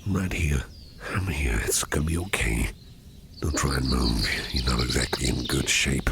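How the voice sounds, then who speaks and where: A man playing a gentle, protective businessman boss in an ASMR roleplay, murmuring tender reassurance in a breathy near-whisper. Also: very deep voice, moderate pace, over loud music. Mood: worried, reassuring. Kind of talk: ASMR roleplay, soothing personal attention.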